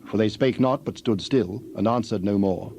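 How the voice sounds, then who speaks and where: A man's voice: on the low side, moderate pace, gravelly, a little mellow, a little hiss or hum. Formal male narrator of dramatized scripture.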